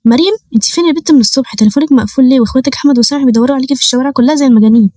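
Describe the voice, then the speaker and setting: A woman's voice: high voice, rapid-fire, warm tone, dry recording, studio quality, voice pushed up. A woman voicing a panicky Egyptian mother who wails and frets over her daughter. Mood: frantic. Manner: frantic, wailing, overwrought.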